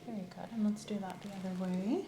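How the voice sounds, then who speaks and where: Female ASMR examiner, calm and clinical, soft delivery; roomy take with audible background noise. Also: medium pitch, quick pace. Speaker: examiner in a medical role-play. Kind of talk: ASMR role-play.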